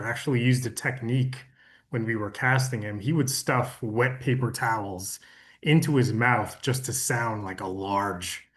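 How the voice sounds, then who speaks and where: A man's voice: lowish voice, a bit bright. A man from New York, an audiobook producer, talking casually about his work in a panel conversation.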